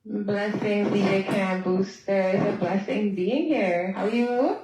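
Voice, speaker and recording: A woman's voice: mid-range voice, measured pace, echoey room, noisy background. A woman from Guyana, chatting easygoing as a musician guest on a call-in interview. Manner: easygoing, chatty, speaks in friendly shout-outs.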